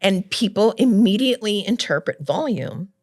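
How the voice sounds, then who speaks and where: A middle-aged woman talking as a guest in a casual interview, loud and animated, laughing often. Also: medium pitch, moderate pace.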